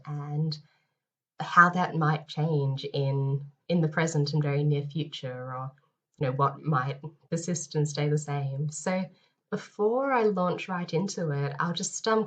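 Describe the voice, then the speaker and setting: A woman lecturer with a head cold, sounding nasal and a bit hoarse, giving a recorded lecture with many ums and restarts. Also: sits low, muffled recording. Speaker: university lecturer.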